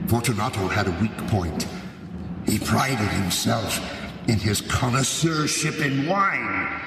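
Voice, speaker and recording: An actor playing a gleefully scheming, vengeful man in a live radio drama, sly and deliberate, with feigned courtesy and knowing pauses. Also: medium pitch, expressive. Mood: gloating, confiding. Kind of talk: theatrical radio drama narration and acting. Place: large hall, live stage performance. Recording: echoey cavernous hall, distant, noisy live recording.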